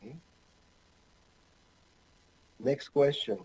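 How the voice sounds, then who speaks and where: Mellow-voiced man from Ecuador lecturing. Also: mid-range voice, a little hiss or hum, low-quality recording. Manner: halting, many fillers, light humor. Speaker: anesthesiologist presenter. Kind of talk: recorded online medical lecture. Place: home office with bookshelves.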